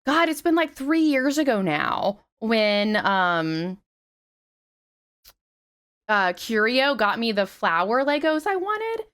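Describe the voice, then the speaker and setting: A young woman talks casually on stream through a headset mic. Her voice is still a little raspy from a recent flu. She chats loosely and curses freely, with a lively melody that leaps up for greetings. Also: fairly high pitch, takes it slowly. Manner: chatty, swears freely, animated greetings. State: slightly raspy after flu.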